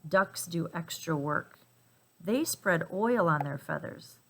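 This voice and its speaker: A woman reading a children's picture book aloud in an expressive, emphatic and playful way for young listeners. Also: fairly low pitch, takes it slowly, gravelly. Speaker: storybook reader.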